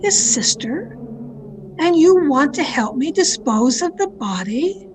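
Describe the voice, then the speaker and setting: An actress playing a jealous, murderous mystery novelist in a radio drama, with deliberate melodramatic delivery over a home call recording. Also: female voice, sits high, big pitch swings, noisy background, heavily acted. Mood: shocked, wary. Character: jealous, murderous woman mystery novelist confessing her crimes. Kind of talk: dramatic first-person radio mystery narration. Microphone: video call.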